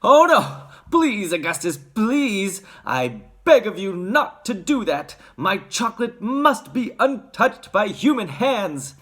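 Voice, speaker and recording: A storybook reader voicing an excitable, fussy male chocolate maker who pleads and exclaims in agitation. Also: medium pitch, slow pace, voice pushed up, full character voice. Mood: agitated pleading.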